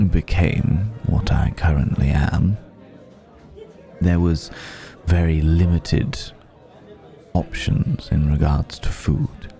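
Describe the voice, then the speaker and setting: An extremely low, mellow male vampire played by a man murmurs in an intimate ASMR roleplay, with soft music behind him. He is centuries old, urbane and quietly predatory, with a gravelly creak. His delivery is measured and reflective, lingering in the pauses. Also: heavily acted. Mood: wistful envy.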